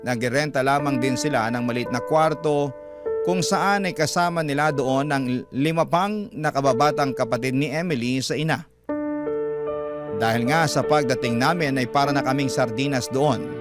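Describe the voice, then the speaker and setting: Male Filipino radio narrator, mid-pitched, reading a personal story dramatically over strong music.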